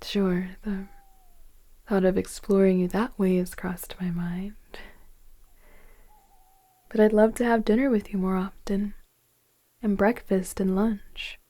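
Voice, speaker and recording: A woman playing a gentle, flirtatious woman in her forties in an intimate ASMR romance roleplay, speaking softly and unhurriedly in a breathy near-whisper. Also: mid-range voice, little pitch movement. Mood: tender, sincere. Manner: soft, tender, hesitant, unhurried flirting.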